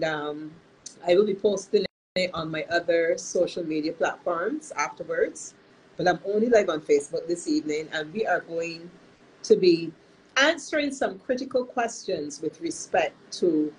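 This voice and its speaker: A woman hosting a live-streamed talk as a counselor, sounding nasal from illness and speaking in an earnest, measured way with frequent restarts. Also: on the low side, slight background noise, poor audio. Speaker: host and counselor.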